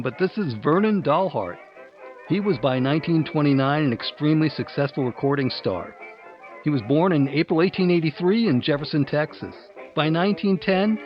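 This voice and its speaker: A man's voice: fairly high pitch, low-quality recording. A man presenting vintage records in a scripted narration.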